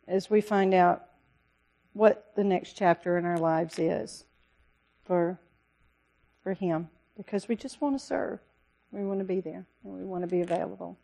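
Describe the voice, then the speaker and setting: A woman missionary giving an earnest, pleading talk to a church congregation, with a loud voice. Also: mid-range voice, creaky, rough recording. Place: church service.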